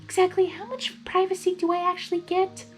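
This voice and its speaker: Tiny, very high, timid pony. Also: female voice, little pitch movement, a little fast, soft background music. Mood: annoyed. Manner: hesitant, pleading. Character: gentle, timid young female pony, soft and anxious.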